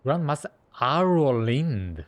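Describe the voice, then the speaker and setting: A man's voice: medium pitch, big pitch swings, takes it slowly. A man giving casual, laid-back reactions as he plays a game, with game music behind him. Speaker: gaming streamer. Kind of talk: casual game playthrough reaction, reading along. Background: faint game music.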